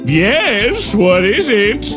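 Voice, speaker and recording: A tiny, scheming cartoon villain, smug and condescending. The delivery is animated and falsely friendly, with loud music behind it. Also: male voice, high-pitched, very slow, full character voice. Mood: sly.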